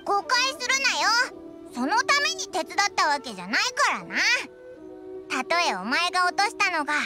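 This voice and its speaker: A woman's voice: extremely high pitch, big pitch swings, fairly quick, over loud music. A woman voicing a small, cheeky, childlike fairy companion with brash boyish speech in an anime game. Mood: brash, relieved.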